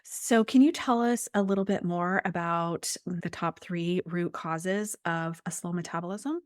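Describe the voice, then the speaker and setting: Woman host, weight loss coach, slightly croaky from a cold, lowish voice, dry close mic, chatty interview tone. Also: measured pace, no room sound. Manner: chatty, enthusiastic, with many fillers. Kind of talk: podcast interview.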